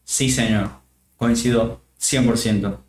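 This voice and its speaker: A man from Catamarca with an Argentine Spanish accent, hosting a relaxed stream chat from a home set, thankful and joking. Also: mid-range voice, takes it slowly, reverberant, slight background noise. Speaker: stream host.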